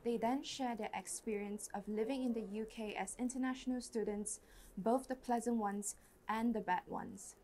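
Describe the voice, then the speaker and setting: A woman giving a scripted documentary narration in a measured, informative manner. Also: on the high side, steady tone, darkish tone, slight background noise.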